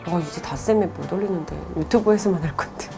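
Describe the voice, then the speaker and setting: A woman's voice: on the low side, quick pace, gravelly, dark tone. A woman Korean-language teacher, around her thirties, giving friendly advice to students and reading their letters aloud in a chatty, encouraging way, often laughing. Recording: faint background music.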